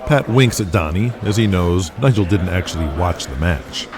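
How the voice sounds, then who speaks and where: A man's voice: deep voice, lively intonation, moderate pace, crowd noise. A man narrating a scripted audio drama in the present tense. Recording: music under the voice.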